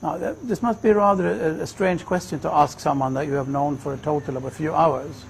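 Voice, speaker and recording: A man in his fifties from Sweden, telling his personal story in a reflective, measured way. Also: on the high side, vocal fry, slight background noise. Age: 50s. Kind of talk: personal testimony, unhurried storytelling.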